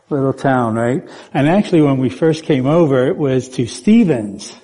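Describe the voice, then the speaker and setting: A man's voice: medium pitch, animated, unhurried, dark tone, husky. A man born in Puerto Rico preaching as a guest speaker in a church, chatty and playful, putting questions to the congregation. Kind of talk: sermon. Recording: church hall with long reverb.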